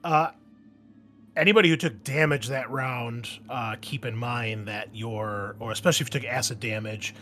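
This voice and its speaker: A man's voice: medium pitch, steady tone, normal speed, sharp tone, soft background music. A man hosting and game mastering a tabletop roleplaying game in a casual, explanatory, conversational way. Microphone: close microphone with pop shield. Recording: clearly noisy background.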